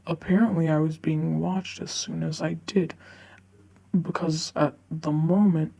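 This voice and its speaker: Woman reading internet stories out loud, kinda monotone n gravelly, keeps tripping on words. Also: low-pitched. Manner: halting, stumbles over words. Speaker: narrator reading online stories for a video channel. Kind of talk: halting read-aloud of forum stories.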